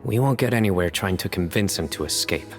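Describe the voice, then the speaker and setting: A man's voice: low voice, lively intonation, brisk, faint music behind. An actor playing a stern, reserved young male guardian who talks tersely and seriously. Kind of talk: video game voice acting.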